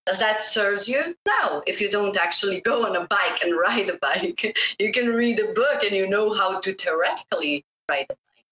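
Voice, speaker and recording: A middle-aged woman from Slovenia, whose first language is Bosnian, telling her story in an animated interview and laughing often. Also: mid-range voice, moderate pace. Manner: animated, laughing, acts out quoted voices. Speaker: guest. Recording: echoey room.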